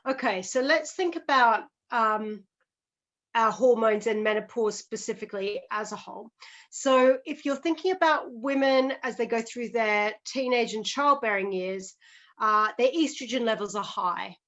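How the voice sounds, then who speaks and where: Australian woman explaining, slightly high. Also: a bit bright, muffled recording. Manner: measured, explains step by step. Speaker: physiotherapist guest. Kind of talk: explanatory interview talk.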